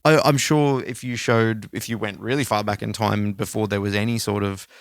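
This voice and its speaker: Smooth low-voiced man chatting casually. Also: steady tone, moderate pace, no room sound, studio quality. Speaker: podcast co-host. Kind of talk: casual music chat.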